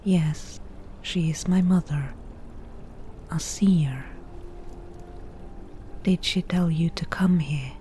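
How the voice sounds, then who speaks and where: A woman playing a wry, teasing forest witch in a softly whispered ASMR roleplay, with dry, unhurried delivery. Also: on the low side, a little hiss or hum. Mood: curious, mocking.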